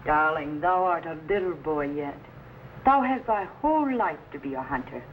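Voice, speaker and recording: A muffled, faintly noisy old radio recording of a loving but firm Quaker mother. She speaks in measured, old-fashioned phrases with a very lively rise and fall. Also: sits low. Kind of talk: old-time radio drama acting.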